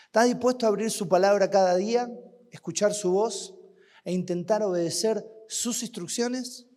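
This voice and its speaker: A man with an Argentine accent preaching a sermon at a youth camp, asking rhetorical questions and swinging between loud and hushed. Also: high-pitched, moderate pace. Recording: long hall reverb.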